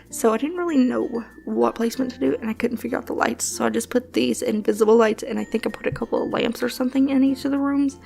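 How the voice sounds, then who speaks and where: Young female vlogger, sniffly, rambling chatty voice-over, fry-heavy, soft music bed. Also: on the high side. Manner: rambling, chatty, lots of fillers and soft laughs. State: sniffly from allergies. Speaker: gaming channel host. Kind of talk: casual voice-over commentary on a building game.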